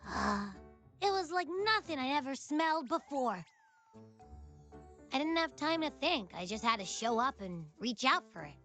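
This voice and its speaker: A woman voicing a boastful, always-hungry childlike little creature companion in a video game, cocky, excitable and whiny. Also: high-pitched, normal speed, faint music behind, heavily acted. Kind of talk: animated video game voice acting.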